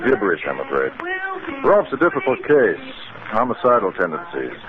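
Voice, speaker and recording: Low-voiced, businesslike doctor. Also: male voice, very animated, normal speed, a crowd in the background. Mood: explanatory. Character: businesslike male research doctor, measured and matter-of-fact. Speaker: psychiatric doctor. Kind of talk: 1950s radio drama acting. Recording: narrow-band old radio recording, faint noise.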